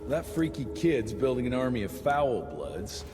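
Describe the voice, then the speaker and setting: An actor plays a blunt, sarcastic frontier monster hunter in a game cutscene, talking plainly at a middling pitch. Loud music runs under his lines. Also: big pitch swings, moderate pace, close to an everyday voice. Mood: gruff. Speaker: field agent in a video game.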